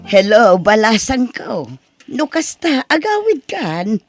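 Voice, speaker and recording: A woman acts an older mother in an Ilocano audio drama, caring and concerned. Her voice is husky, with big swings in pitch. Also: big pitch swings, slow pace, soft background music, heavily acted. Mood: affectionate.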